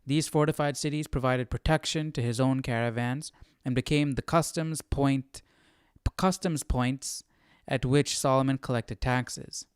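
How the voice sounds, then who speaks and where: A man's voice: mid-range voice, steady tone, a little slow, clean tone, dry recording, studio quality. A man hosting a livestreamed study session, musing aloud informally and reading from notes. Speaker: host of a Bible study livestream. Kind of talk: casual live study commentary, thinking aloud and reading notes.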